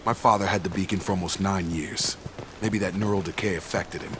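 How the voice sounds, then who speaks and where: An actor playing a troubled, loyal soldier in a video game, with a firm, earnest delivery. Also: male voice, low voice, fairly even intonation, fairly quick, noisy background, natural voice. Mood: concerned, determined. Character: troubled, loyal soldier son seeking answers.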